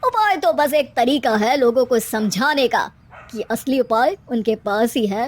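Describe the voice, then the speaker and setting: Woman acting a measured, knowing holy man. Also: a little high, animated. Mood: wise, gentle. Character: calm, knowing male holy man advisor.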